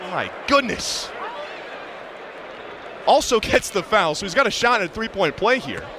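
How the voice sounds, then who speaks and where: A man with a high voice calls basketball live over a loud arena crowd. He narrates in short, vivid bursts as a play-by-play announcer, with plenty of noise around him. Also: big pitch swings, measured pace. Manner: clipped, vivid running description. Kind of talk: live sports commentary. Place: courtside in a large indoor arena. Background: crowd and bouncing basketball.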